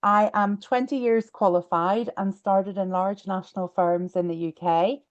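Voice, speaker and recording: A woman's voice: medium pitch, steady tone, unhurried. Belfast woman on a dull online line. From Belfast, Northern Ireland. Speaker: real estate lawyer, panellist. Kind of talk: self-introduction on a webinar. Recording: dull, lo-fi online call audio.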